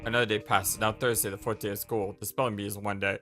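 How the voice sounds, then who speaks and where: A man's voice: fairly low pitch, flat delivery, fast, bright, forceful tone, soft background music. A man reading visual novel narration as a let's play host, with casual commentary and the odd stumble.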